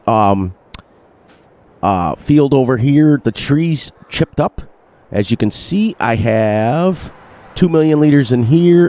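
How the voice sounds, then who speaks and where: A man commentating his farming game with upbeat, bouncy energy, repeating words in a sing-song way. His voice sounds slightly nasal from allergies. The tone is mellow and warm, at a middle pitch. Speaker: gaming channel host. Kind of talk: narrated gameplay commentary.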